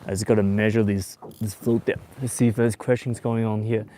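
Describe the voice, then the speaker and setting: A man in his forties who grew up in Taiwan and Australia, hosting a casual fishing livestream from a boat on a lake in a relaxed, chatty way. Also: on the low side, a little fast, slight background noise. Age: 40s. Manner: relaxed and chatty, with bursts of excitement when a fish bites. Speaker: fishing show host.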